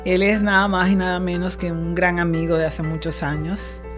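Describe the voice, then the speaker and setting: A woman hosting a radio talk show, warmly introducing her guest and chatting with him, and laughing easily. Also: fairly low pitch, level tone, moderate pace, music in the background. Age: older. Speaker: radio host.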